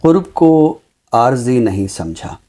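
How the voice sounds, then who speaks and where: A Pakistani man speaking formally and deliberately as a literary commentator, with emphatic, repeated phrasing. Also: medium pitch, animated, warm tone. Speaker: literary commentator on a talk show. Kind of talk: measured, formal literary discussion and poetry recital.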